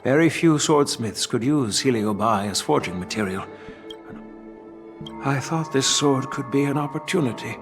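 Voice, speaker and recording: A man's voice: mid-range voice, animated, normal speed, over music, natural voice. Man acting a solemn, earnest old grandfather. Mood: solemn, grave. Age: older. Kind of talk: dramatic English game voice acting.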